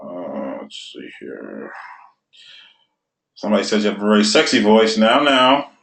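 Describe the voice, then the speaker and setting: A man hosting a live webinar Q&A, casually reading audience questions aloud with pauses between them. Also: fairly low pitch, wildly swooping pitch, gravelly, a bit bright. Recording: roomy echoey sound.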